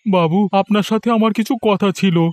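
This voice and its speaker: Man as a high-pitched, earnest poor village husband. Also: steady tone, normal speed, a little mellow, natural voice. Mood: nervous pleading. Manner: pleading, hesitant. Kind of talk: cartoon story voice acting.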